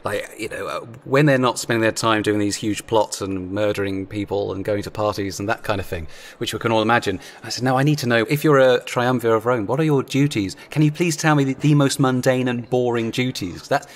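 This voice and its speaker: A man in casual, chatty discussion, telling things in an animated, digressive way. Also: mid-range voice, fairly quick, few pauses. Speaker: audio drama writer.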